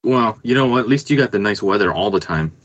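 Low-pitched, easygoing Canadian man. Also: lively intonation, quick pace, fairly bright tone. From rural Canada. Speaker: podcast guest, band musician. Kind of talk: casual chat.

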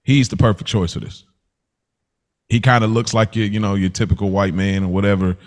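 Deep-voiced man chatting casually. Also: steady tone, a little fast. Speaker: podcast host. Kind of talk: casual TV review chat.

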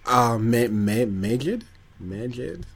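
A man's voice: mid-range voice, animated, takes it slowly, slightly rough. A man co-hosting a podcast, chatting casually in a hesitant, wry way. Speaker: podcast co-host. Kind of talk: casual chat.

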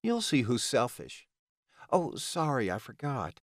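A man's voice: medium pitch, wildly swooping pitch, measured pace, no echo, studio quality, pitched above the performer's own voice. A man voicing a defiant, sarcastic young heroine in an audiobook, challenging whoever she talks to. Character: feisty, defiant mortal woman in love.

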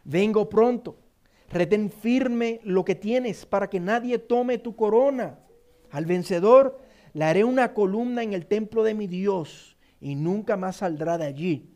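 A man's voice: sits high, dark tone. A man with a Puerto Rican accent, preaching a sermon, reading scripture emphatically and repeating key phrases. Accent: Puerto Rican Spanish. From Puerto Rico. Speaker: pastor.

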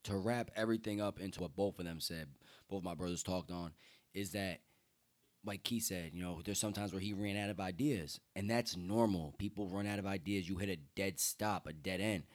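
A young man talking in a casual conversation as a guest, earnest and getting loud and excited as he speaks. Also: deep voice, fairly quick. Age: young adult.

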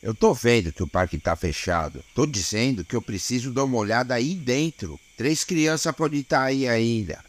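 A man's voice: mid-range voice, lively intonation, normal speed, few pauses, lightly acted. A man playing a weary, dogged small-town policeman in an audio drama, colloquial and grumbling, with a Brazilian accent. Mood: insistent. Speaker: voice actor.

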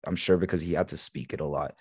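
Deep-voiced Egyptian-born man, dry close sound. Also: fast, husky, dry recording. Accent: American. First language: Arabic. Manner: relaxed, fillers like "like". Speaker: podcast guest. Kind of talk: casual conversation.

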